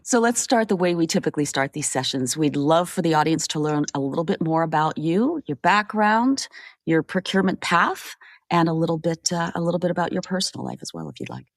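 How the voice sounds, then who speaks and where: A woman's voice: sits low, sing-song, brisk, no room sound, clean studio sound. A woman hosting a podcast interview, speaking in a friendly, conversational and affirming manner. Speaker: podcast host. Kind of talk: hosted interview.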